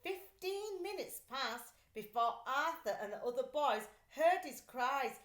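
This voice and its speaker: Lady reading a kids book out loud, highish voice, really expressive, bit echoey. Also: unhurried. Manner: animated, dramatic character voicing in dialogue. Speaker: teacher reading a children's book aloud. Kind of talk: expressive storybook read-aloud.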